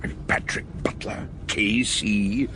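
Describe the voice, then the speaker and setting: An actor playing a genial, learned sleuth who narrates a case in a radio drama, measured and avuncular. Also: male voice, fairly low pitch, steady tone. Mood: genial, cautioning. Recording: clearly noisy background ambience.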